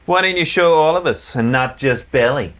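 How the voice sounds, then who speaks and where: A man's voice: fairly high pitch, animated, moderate pace, fairly bright tone. A man playing a sullen, indifferent, mocking older teenage boy in a novel table read.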